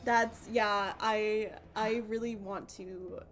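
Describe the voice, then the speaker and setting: A woman's voice: mid-range voice, steady tone, slow. A woman live-streaming a game, chatting casually in a rambling, sweary, giggly way.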